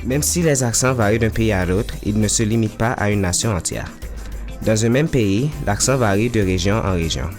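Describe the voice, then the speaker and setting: Deep-voiced man over music. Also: lively intonation, normal speed, a little mellow. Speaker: narrator and interviewee. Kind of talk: scripted narration, then casual talk. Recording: music under the voice.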